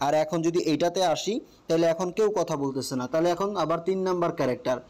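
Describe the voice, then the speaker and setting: Higher-pitched Bangladeshi man, casual tutorial host. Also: fast talker, a little mellow. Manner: explanatory, often checks the listener follows. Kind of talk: casual step-by-step app tutorial.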